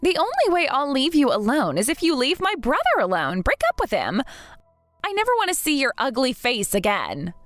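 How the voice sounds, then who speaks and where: A woman in her late twenties voicing a spiteful, bratty younger sister with a high, sneering, mocking voice. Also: huge pitch swings, moderate pace, fairly bright tone, no echo, soft background music, exaggerated voice. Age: late 20s.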